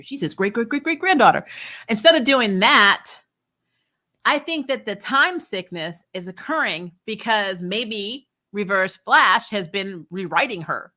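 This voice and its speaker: A woman hosting a casual, opinionated TV recap, animated and sarcastic, with mock quotes and some mild swearing. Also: medium pitch. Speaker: host of a TV review channel.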